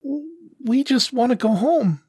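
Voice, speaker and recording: Casting: male reader as timid young female serval cub, high-pitched, pleading, for an audiobook fantasy. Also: animated, slow pace, dark tone, slightly rough, dry recording, clean studio sound, heavily acted. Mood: tearful pleading. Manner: timid, faltering.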